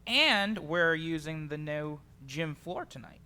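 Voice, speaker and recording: Radio drama kid brother: actress as cheeky teenage boy, sharp bright tone, bouncing animated pitch. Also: clean tone, exaggerated voice. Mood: excited, teasing. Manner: brisk, eager, teasing.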